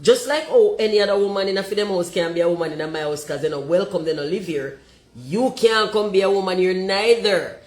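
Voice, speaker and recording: A Jamaican woman with a deep voice, talking forthrightly and emphatically and slipping into patois. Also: echoey room. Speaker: commentator.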